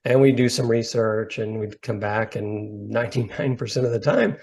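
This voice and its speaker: A man's voice: lowish voice, moderate pace, vocal fry, darkish tone. A man presenting a webinar over a video call, explaining points plainly and rephrasing as he thinks aloud. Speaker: company founder presenting.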